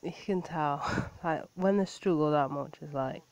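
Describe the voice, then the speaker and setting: A woman's voice: deep voice, normal speed, darkish tone. A woman reacting with blunt disbelief in a casual running commentary, often cursing and breaking into laughter. The sound is a bit dull and lo-fi. A faint hiss sits behind her. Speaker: video reaction host.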